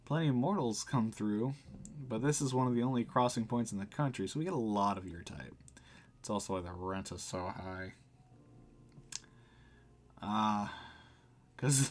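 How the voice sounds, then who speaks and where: A man's voice: on the low side, moderate pace, long pauses, pitched below the performer's own voice, close to an everyday voice. A man voicing a laid-back, wry and reassuring cafe barista in a visual novel. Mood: wry, matter-of-fact. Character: laid-back, dry, kindly cafe barista guiding the dead.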